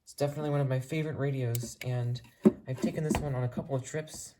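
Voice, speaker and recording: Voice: mid-range voice, fairly even intonation, fast talker, very noisy. A person with an earnest, rambling, enthusiastic way of talking, giving a casual tour of a hobby collection. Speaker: hobbyist video creator showing a radio collection.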